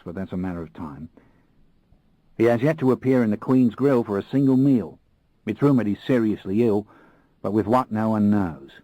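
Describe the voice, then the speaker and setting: A man voicing a tall, alert, suspicious Australian fixer with an Australian accent and a brisk, businesslike manner. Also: on the low side, warm tone, no room sound, close to an everyday voice, poor audio.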